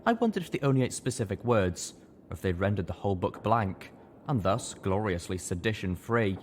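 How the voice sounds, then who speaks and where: A man reading a first-person story aloud as an expressive narrator, with lively delivery. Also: lowish voice, fairly quick, slight background noise. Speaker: narrator of an audiobook fan fiction reading.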